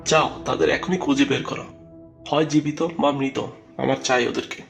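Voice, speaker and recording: A man's voice: medium pitch, flat delivery, a little fast, vocal fry, soft background music, natural voice. A man voicing a proud, wrathful tyrant king who commands imperiously in a cartoon. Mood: outraged, menacing. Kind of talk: cartoon voice acting.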